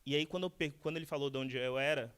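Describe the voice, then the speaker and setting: A man's voice: mid-range voice, steady tone, racing, fairly bright tone, clear, resonant tone. A Brazilian man in his thirties gives a talk, keeping it loose and conversational. He stops and restarts often, repeating words as he goes. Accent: Brazilian Portuguese. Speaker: guest speaker, architect. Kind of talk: lecture told as casual conversation.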